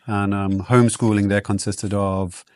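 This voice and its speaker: A man from South Africa whose first language is Afrikaans, telling childhood stories in a relaxed, reflective way as a podcast guest, with frequent ums and restarts. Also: fairly low pitch, moderate pace, clear, resonant tone, no echo. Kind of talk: casual interview storytelling.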